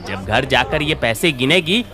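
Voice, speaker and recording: An actor playing a sly, scornful cheating food vendor with a sneering manner in a story. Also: male voice, mid-range voice, sing-song, unhurried, over music.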